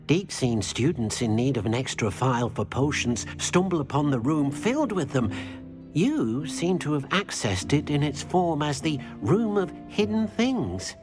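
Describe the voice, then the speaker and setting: An eager, humble house-elf. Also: male voice, a little high, very animated, unhurried. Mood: helpful. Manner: talks of himself by name, polite and eager. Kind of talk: video game voice acting. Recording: game soundtrack with clear music.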